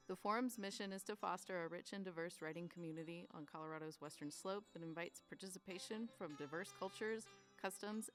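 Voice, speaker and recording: A woman narrates a scripted podcast announcement. Her voice sits slightly low, and faint music plays behind her.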